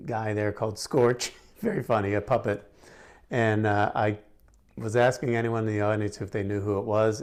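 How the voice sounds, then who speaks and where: A man's voice: on the low side, darkish tone. A middle-aged man hosting a casual question-and-answer lesson on gemstones, friendly and chatty with frequent fillers and restarts. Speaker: gemstone instructor and host. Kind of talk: casual Q&A to camera.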